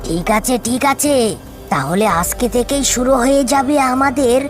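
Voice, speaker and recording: A woman's voice: sits high, lively intonation, normal speed. A woman as an indignant tigress housewife, music behind. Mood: resentful. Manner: complaining, emphatic. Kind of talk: cartoon voice acting. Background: background music.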